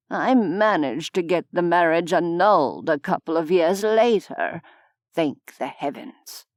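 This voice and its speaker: A woman voicing a weary, wry old male medieval nobleman in an audiobook, speaking in a slow, measured, self-mocking way. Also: fairly low pitch, no room sound, clean studio sound. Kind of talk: audiobook character voice.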